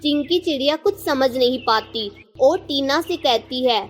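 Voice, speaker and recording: A woman's voice: high-pitched, lively intonation, a little slow. A woman narrator reading a scripted children's story.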